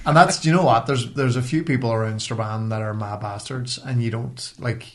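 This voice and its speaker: A man's voice: medium pitch, brisk, very noisy. A man with a Northern Irish accent, co-hosting a podcast in casual, colloquial, sweary chat. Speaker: podcast co-host.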